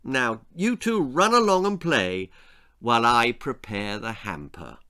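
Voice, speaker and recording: A man's voice: mid-range voice, lively intonation, unhurried, bright, forceful tone, smooth. A man voicing a kindly, doting aunt in a children's storybook, homely and fussing. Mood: indulgent. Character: kindly, doting countryside aunt, grown woman.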